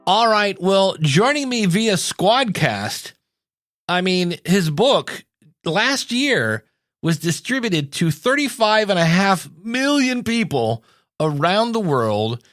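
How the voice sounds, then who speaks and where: A man's voice: high-pitched, very animated, slow pace, a bit bright, no room sound, clean studio sound. A man in his fifties hosting a podcast, talking casually and candidly in an anecdotal monologue. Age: 50s. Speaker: podcast host.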